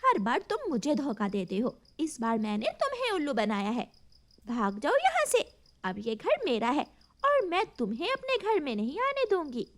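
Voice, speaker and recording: A woman's voice: sits high, wildly swooping pitch, moderate pace. A woman voicing a clever, firm little female bird with polite manners in a children's cartoon story. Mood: scolding.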